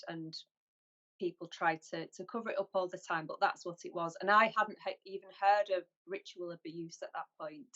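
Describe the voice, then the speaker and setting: Low flat-voiced woman guest. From North West England. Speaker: interview guest. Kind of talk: video call interview. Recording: lo-fi call audio.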